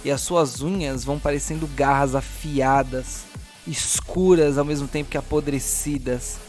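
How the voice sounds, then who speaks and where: A man's voice: mid-range voice, steady tone, moderate pace, a little mellow. Brazilian man game-mastering over music. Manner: dramatic descriptions mixed with casual slangy asides. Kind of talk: narrating a horror tabletop role-playing game. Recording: music playing behind the voice.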